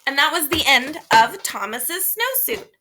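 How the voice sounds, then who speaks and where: High, bright-voiced woman reading a storybook. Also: a little slow, a little hiss or hum. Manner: expressive, emphatic, explaining to children. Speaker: teacher reading aloud to children. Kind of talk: animated children's storybook read-aloud.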